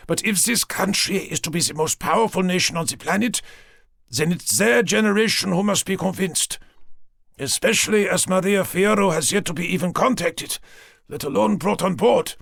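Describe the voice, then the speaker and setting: A man's voice: high-pitched, moderate pace, vocal fry, a bit bright, no echo, clean studio sound, voice pushed up, full character voice. A man voicing a cruel, fanatical old German woman, speaking with theatrical mock tenderness. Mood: domineering.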